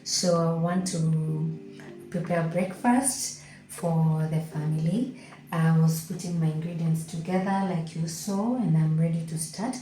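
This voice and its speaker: A woman and mother vlogging at home in the morning, talking casually through her kitchen with a croaky, deep, just-woken voice. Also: unhurried. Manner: relaxed, chatty, explains as she goes. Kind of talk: casual vlog narration. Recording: roomy echo with faint background music.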